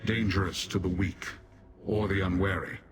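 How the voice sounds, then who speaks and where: A man voicing a proud, stern Dremora demon warrior in a fantasy video game, speaking formally and with measured disdain. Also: sits low, music in the background, close to an everyday voice. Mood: impatient disdain. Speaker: non-player character in fantasy game. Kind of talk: scripted video game dialogue.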